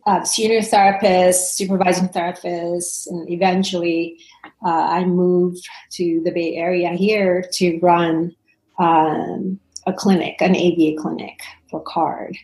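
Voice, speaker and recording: A woman's voice: fairly low pitch, little pitch movement, a little slow. Guest voice: woman in her forties from Indonesia, chatty and laughing, recorded in a reverberant room. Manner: chatty, warm, laughs often, many restarts. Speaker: parent coach, guest. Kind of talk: casual interview answers.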